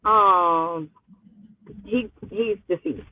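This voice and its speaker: A woman's voice: mid-range voice, very slow, over a noisy crowd. A woman in her twenties calling in, chatting casually in a flustered, evasive, slangy way. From Louisiana. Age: 20s. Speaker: caller. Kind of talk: casual phone chat. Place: phone call from a parked car. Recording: muffled, phone-like call audio.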